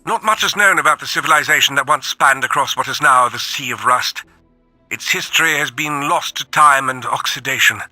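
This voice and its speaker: Game audio log, earnest robot scholar, bright edgy tone, grave formal diction, faint music. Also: male voice, medium pitch, a little fast, dry recording. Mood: scholarly. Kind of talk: scripted audio log narration.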